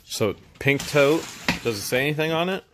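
A man chatting and joking during an unboxing in a cluttered storage room, quick to react to each find. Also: on the high side, animated. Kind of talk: casual unboxing chat. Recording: noisy room background.

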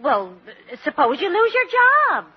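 A woman's voice: very high-pitched, huge pitch swings, unhurried, exaggerated voice. A woman playing a sensible, firm American wife, dry and no-nonsense, in a 1940s radio sitcom. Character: sensible, firm wife, patient but exasperated. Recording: dull 1940s radio recording, studio audience.

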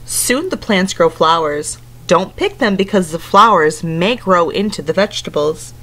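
A woman's voice: fairly low pitch, animated, normal speed, a bit bright, poor audio. A woman in her thirties reading a picture book aloud to young children, instructing in simple phrases and putting questions to them. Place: indoors at home, living room couch.

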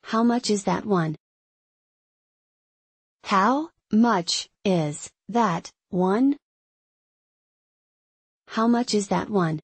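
A woman's voice: medium pitch, drawn-out words, pauses often, no echo. A woman reading short English phrases for learners, saying each one carefully several times in a dry studio voice-over. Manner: careful, clear enunciation for learners. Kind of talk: slow repeated phrase reading.

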